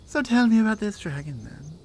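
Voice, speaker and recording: A woman's voice: medium pitch, sing-song, vocal fry, a little hiss or hum. A woman playing a strong, teasing Nordic warrior in an ASMR roleplay, with a Nordic accent and a dry, playful, skeptical manner. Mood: amused, skeptical. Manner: playful, dry, conversational with pauses. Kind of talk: ASMR roleplay audio drama.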